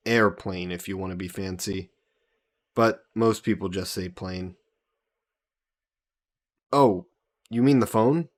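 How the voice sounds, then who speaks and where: A man playing a friendly, patient human hero from Earth in a roleplay audio drama, explaining things conversationally to a listener. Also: on the low side.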